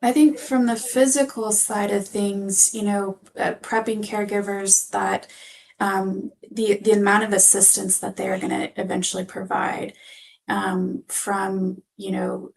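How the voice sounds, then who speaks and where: A woman speaking as a guest medical expert in an interview, explaining practical points in a measured, conversational way. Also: mid-range voice, flat delivery, creaky, poor audio. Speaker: physician assistant, guest expert.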